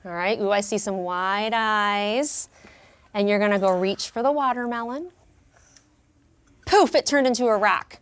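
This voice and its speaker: A woman's voice: mid-range voice, unhurried, long pauses, smooth. A woman teacher leading a classroom lesson for young children, speaking expressively and prompting them with questions. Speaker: elementary school teacher.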